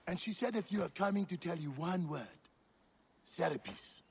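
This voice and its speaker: An actor playing a friendly, teasing ancient Egyptian confidant in a video game. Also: male voice, a little high, lively intonation, normal speed, breathy edge. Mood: helpful. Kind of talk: dramatic video game acting.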